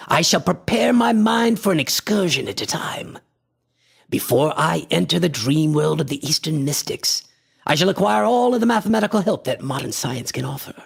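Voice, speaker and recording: Man acting a husky, creaky, obsessed antiquarian. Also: fairly high pitch, exaggerated voice. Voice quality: breathy, husky, often dropping to a whisper. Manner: grandiose, deliberate, formal diction. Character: obsessed, imperious male antiquarian experimenting with a mystical drug. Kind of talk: theatrical radio horror acting.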